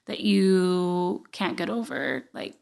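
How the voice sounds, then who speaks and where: A woman's voice: lowish voice, slow, vocal fry, darkish tone. A woman from Puerto Rico, a podcast guest telling casual, joking stories and acting out remembered dialogue. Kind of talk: casual conversational storytelling.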